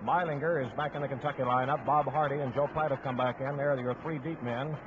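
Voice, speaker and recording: A man's voice: mid-range voice, fairly even intonation, fast talker. A man calling live play-by-play football commentary on a 1950s radio broadcast. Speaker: play-by-play sports announcer. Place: stadium broadcast position. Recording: noisy, muffled old 1950s radio broadcast. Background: outdoor stadium crowd noise.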